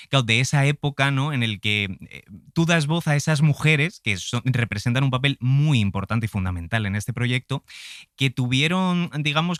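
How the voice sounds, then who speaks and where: A man's voice: mid-range voice, a bit bright, no echo, clean studio sound. A man hosting a conversational interview, building up his points in a wordy, circling way. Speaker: podcast interviewer.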